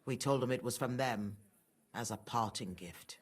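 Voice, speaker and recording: A woman's voice: very deep voice, normal speed, breathy edge. An actress playing a middle-aged, sanctimonious and scornful mother, a pious murderess without remorse, in a dramatic video game scene. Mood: cold contempt. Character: pious, murderous mother, haughty, fanatical and unrepentant.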